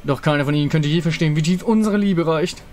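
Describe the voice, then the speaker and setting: A man's voice: a little high, fast talker, a little mellow, a little hiss or hum, natural voice. Man acting a pompous, lovesick undead villain king. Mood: triumphant. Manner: pompous, theatrical.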